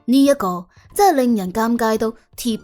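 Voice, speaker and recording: A woman's voice: on the high side, moderate pace, dark tone, faint music behind. A woman with a Cantonese accent narrating an audiobook dramatically, in a wry, colloquial, exclamatory way. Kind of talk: dramatic scripted storytelling.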